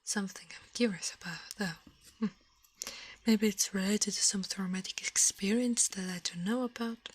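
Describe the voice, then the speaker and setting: A woman doing an ASMR livestream, chatting to viewers in a breathy, mostly whispered voice and softly repeating her phrases. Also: medium pitch, no room sound, low-quality recording. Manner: slow-drifting, repeats words and phrases softly.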